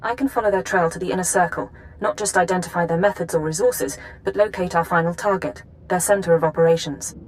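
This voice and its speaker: A woman playing a resolute, commanding intelligence chief who gives serious, measured briefings in a video game. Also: fairly low pitch, slight background noise, close to an everyday voice, poor audio. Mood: grave, urgent. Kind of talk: video game dramatic acting.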